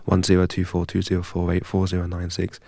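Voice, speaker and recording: A man from England, a guest in an interview, telling his story in a measured, deliberate way. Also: low-pitched, monotone, creaky, mellow, no echo. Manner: measured and deliberate, recites long strings of numbers evenly.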